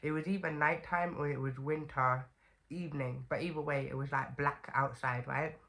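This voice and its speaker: A woman's voice: deep voice, fairly even intonation, moderate pace, a bit bright. A young woman with a stuffy, congested voice from a cold, chatting casually to camera as a vlogger with lots of fillers and "okay?" asides.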